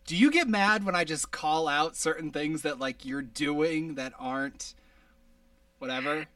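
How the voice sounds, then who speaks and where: A man with a high-pitched voice hosting a casual podcast, rambling with many restarts and laughs. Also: a little slow, fairly bright tone, no room sound, recorded from a distance. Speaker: podcast host.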